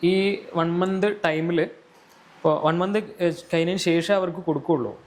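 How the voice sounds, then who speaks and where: A man's voice: high voice, unhurried, a crowd in the background. A man hosting a podcast, casually asking questions. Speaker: podcast host. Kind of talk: casual interviewing with questions and short echoes.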